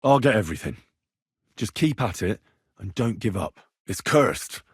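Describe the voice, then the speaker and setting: Earnest young medieval game hero, lively delivery. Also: male voice, lowish voice, moderate pace, husky, no room sound, studio quality, heavily acted. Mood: serious. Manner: earnest, persuasive, direct. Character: earnest young medieval man, plain-spoken, persuasive, stubborn. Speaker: video game voice actor. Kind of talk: acted video game dialogue lines.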